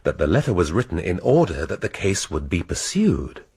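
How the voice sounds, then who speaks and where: An actor playing a dry, erudite middle-aged police inspector in a radio drama, wry and precise. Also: male voice, low-pitched, very animated, normal speed, vocal fry, darkish tone, breathy edge, exaggerated voice. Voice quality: wry, precise, dryly ironic.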